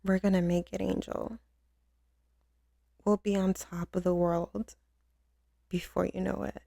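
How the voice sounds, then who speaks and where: A woman playing a tough, commanding mafia boss who turns tender and doting with a lover, in an intimate, slow-spoken ASMR roleplay with a gravelly voice. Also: medium pitch, little pitch movement, mellow, no echo, clean studio sound. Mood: dreamy, proud. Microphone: close studio microphone, dry.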